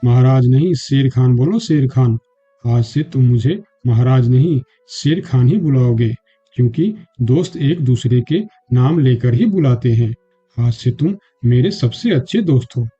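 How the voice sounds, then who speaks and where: A man's voice: mid-range voice, animated, unhurried, dark tone, breathy edge. A man voicing a regal lion king in a children's cartoon story, stern but forgiving and remorseful. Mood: warm, insistent. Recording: dull muffled audio with music.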